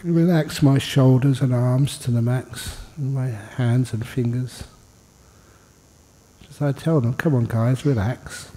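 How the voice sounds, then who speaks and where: A man leading a guided meditation as a monk and teacher, speaking informally in short, unhurried phrases with pauses and gentle humour. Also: mid-range voice, fairly even intonation, in a large hall, low-quality recording. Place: indoor meditation hall.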